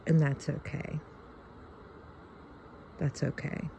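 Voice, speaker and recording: A woman in her fifties with a Southern US accent, chatting reflectively and haltingly to her viewers. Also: sits low, flat delivery, gravelly, background noise. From Louisiana, United States. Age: fifty-one. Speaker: video channel host. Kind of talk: casual reflective chat to viewers.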